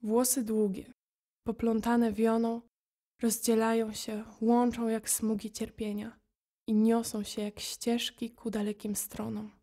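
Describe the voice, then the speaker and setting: Soft, mellow woman reciting poetry slowly. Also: fairly high pitch, flat delivery. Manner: solemn, restrained delivery. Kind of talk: dramatic poetry recitation for audio play.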